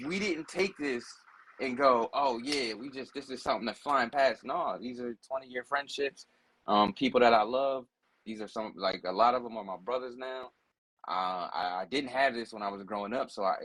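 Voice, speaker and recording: Husky-voiced man in his forties. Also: medium pitch, a bit bright, no room sound, rough recording. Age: 40s. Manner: blunt, profane, storytelling. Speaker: guest telling his life story. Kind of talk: casual conversation.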